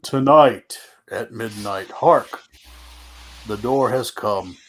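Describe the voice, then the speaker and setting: A man's voice: mid-range voice, slow, a bit bright, a little hiss or hum, pitched below the performer's own voice. A man voicing a grave, aging ghost with a portentous manner in a radio play reading. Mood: solemn. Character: solemn aging male Ghost of Christmas Present.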